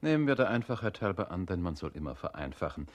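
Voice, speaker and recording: An actor in an experimental radio play, playing a pedantic reasoner who sets out assumptions with emphatic precision. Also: male voice, low voice, sing-song, quick pace. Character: pedantic male reasoner setting out hypothetical assumptions. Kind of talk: experimental German radio play, scripted recitation.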